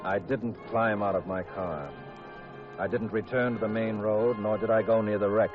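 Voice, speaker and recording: Man acting a hard-boiled, low-voiced radio detective. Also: fairly even intonation, normal speed, natural voice. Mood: grim, measured. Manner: clipped, no-nonsense delivery. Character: hard-boiled married male investigator, tough, terse, stubborn. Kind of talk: 1950s radio crime drama acting with first-person narration. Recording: dull vintage radio recording, music behind.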